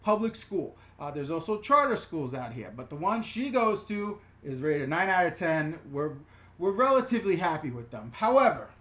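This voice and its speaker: A middle-aged man with a New York accent hosts a casual live question-and-answer stream. He talks in an animated, rambling way, swearing freely and wandering off on tangents before circling back to the chat. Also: fairly high pitch, moderate pace. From New York/New Jersey. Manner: animated, profane, rambling with digressions and self-corrections.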